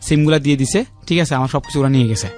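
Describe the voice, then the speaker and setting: A man's voice: mid-range voice, very fast, darkish tone. A man in his thirties with a Bangladeshi accent, telling his personal story as a radio interview guest, repeating himself and often adding check-in tags. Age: 30s. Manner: repetitive, uses frequent check-in tags. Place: radio studio. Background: faint music.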